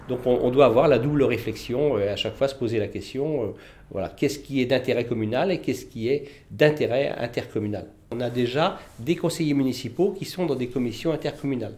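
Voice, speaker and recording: A man gives interview answers as a village mayor. He explains matters in a measured way, feeling for his words as he goes, with a mid-range voice. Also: medium pitch, a little mellow. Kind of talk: interview answers on local politics.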